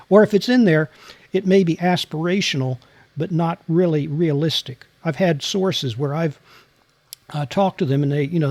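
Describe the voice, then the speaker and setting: Measured man with a soothing voice. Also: fairly high pitch, darkish tone, no room sound. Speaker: guest, former CIA officer and novelist. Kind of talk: interview answers.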